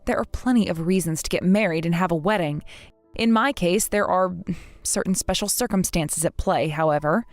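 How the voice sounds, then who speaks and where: A woman in her thirties voicing a patient, polite bride-to-be who speaks in a soft, measured, restrained way. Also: on the low side, no echo, soft background music, close to an everyday voice. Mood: hurt. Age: 30s.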